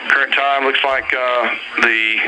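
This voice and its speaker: A man announcing live severe weather bulletins on the radio in a halting, matter-of-fact way, pausing through lists of places. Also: mid-range voice, level tone. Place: weather service office, heard over radio. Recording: very noisy weather radio broadcast.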